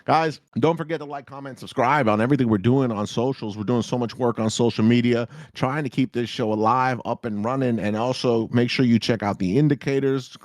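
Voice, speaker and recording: A man, hosting a live show in loud, hyped, slangy casual chat that keeps repeating itself. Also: mid-range voice, fairly even intonation, a little fast, few pauses, no echo, clean studio sound. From South Florida. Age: 30s. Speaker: host of a trading live show.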